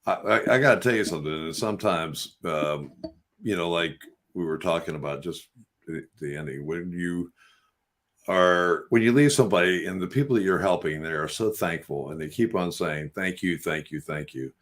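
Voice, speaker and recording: A man's voice: sits low, normal speed, a bit bright. A man from West Virginia hosting a spiritual podcast, talking in an earnest, rambling, preachy way. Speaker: host. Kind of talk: casual spiritual talk on a radio podcast.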